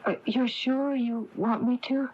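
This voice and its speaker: A woman's voice: fairly high pitch, fairly even intonation, unhurried, natural voice. An actress playing a polite, uneasy, wary woman in a radio mystery drama, hesitant and cautious in her speech. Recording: dull, noisy off-air radio tape.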